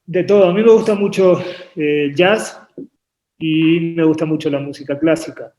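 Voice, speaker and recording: A man from Uruguay raised in Argentina, talking about his work as a painter on a video call, often pausing and repeating words as he thinks. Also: on the high side, fairly even intonation, a little mellow. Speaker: painter and artist guest. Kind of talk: relaxed interview conversation. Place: home painting studio. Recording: echoey room on a video call.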